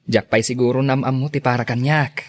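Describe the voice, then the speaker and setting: A man's voice: medium pitch, fairly even intonation, a little fast, close to an everyday voice. A man with an Ilocano Filipino accent playing a polite, deferential young driver in an audio drama. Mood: polite, bashful. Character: polite, single 28-year-old male personal driver.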